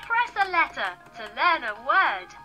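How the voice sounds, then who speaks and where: A woman voicing an excitable guide on a children's learning laptop, giving simple, enthusiastic instructions. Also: high-pitched, a little slow, soft background music, heavily acted, rough recording. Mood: perky, excited. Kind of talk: children's educational toy prompts.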